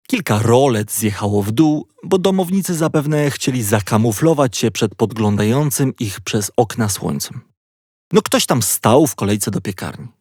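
A man reading a children's audiobook as a playful, expressive storyteller. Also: mid-range voice, dry recording, clean studio sound. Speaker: audiobook narrator. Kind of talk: scripted children's story narration.

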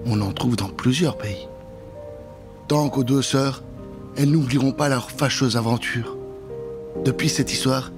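A man in his thirties telling a children's Halloween story in a dramatic, suspenseful way at a studio microphone. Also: mid-range voice. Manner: theatrical, suspenseful. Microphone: studio microphone with pop filter.

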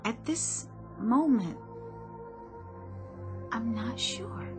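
An actress playing a troubled, bewildered female customer in a radio drama, speaking haltingly as if unsure of her own mind. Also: a little high.

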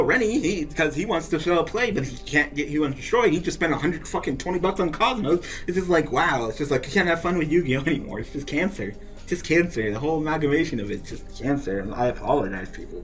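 A man's voice: on the high side, a bit bright. A young man venting over a card game he just played, swearing and laughing in exasperation as he comments. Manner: ranting, profane, laughing in exasperation.

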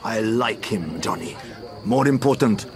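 Assured, commanding wealthy owner. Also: male voice, lowish voice, expressive, unhurried, crowd noise. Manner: terse, assured. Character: suave, commanding wealthy racehorse owner, shrewd and decisive. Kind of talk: radio drama acting.

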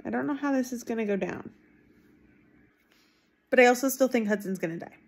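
Husky woman, chatting casually. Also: fairly high pitch, a little fast. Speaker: book vlogger giving reading reactions. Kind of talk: casual reaction chat to camera. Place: small room at home, indoors. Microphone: phone at arm's length.

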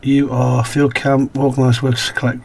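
Croaky-throated man narrating a game. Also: medium pitch, level tone, moderate pace, a little mellow, slight background noise. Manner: mumbles to himself while playing, reads game text aloud. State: croaky throat, slight cough. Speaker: gaming video host. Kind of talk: casual let's-play commentary.

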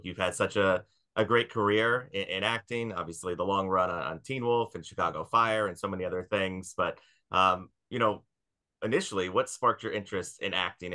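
A man's voice: deep voice, steady tone, brisk, bright, forceful tone. A man in his thirties hosting a casual podcast interview over a video call on a headset. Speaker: podcast host. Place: indoor room, video call.

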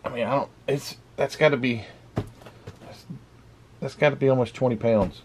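A man hosting his model car channel, chatting casually while unboxing a parcel, his voice croaky from the morning, with lots of restarts. He talks indoors into a camera set a little way back from him. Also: mid-range voice, normal speed, slight background noise. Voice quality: rambling, restarts often. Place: indoor room with camera on a table. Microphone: camera mic set back from him.

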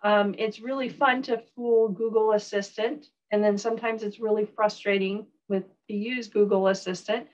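A woman professor with a Southern US accent giving a recorded online lecture, relaxed, with frequent ums and uhs. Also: mid-range voice, normal speed, few pauses, muffled recording.

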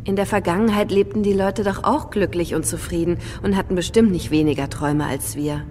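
An actress in a radio drama playing a thoughtful, cautious woman with a measured way of talking. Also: fairly low pitch, steady tone, a little mellow, slight background noise, natural voice. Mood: thoughtful, calm. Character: thoughtful, cautious woman company owner in a science-fiction future. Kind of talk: German radio drama acting. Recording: studio radio drama with faint music.

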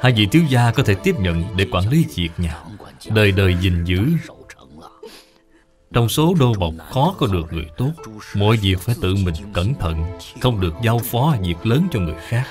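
A man's voice: lowish voice, expressive, moderate pace, faint music behind, natural voice. A man voicing a devoted, humble male servant in his eighties, dying and giving earnest, solemn advice in a cartoon. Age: 80s.

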